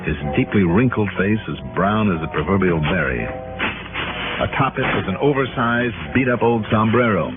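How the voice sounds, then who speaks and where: Tinny old radio recording with music, actor as male investigator, wry, teasing, lively swings in pitch. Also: normal speed, natural voice. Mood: scene-setting. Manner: wry, teasing, hesitant asides. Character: wry, self-assured male insurance investigator. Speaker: lead actor and narrator. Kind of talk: 1950s radio detective acting.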